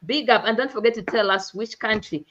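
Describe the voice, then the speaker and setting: A woman from Uganda hosting a live online talk show, with an exuberant, drawn-out emphatic delivery. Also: medium pitch, fairly bright tone. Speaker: host. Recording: dull lo-fi online stream audio.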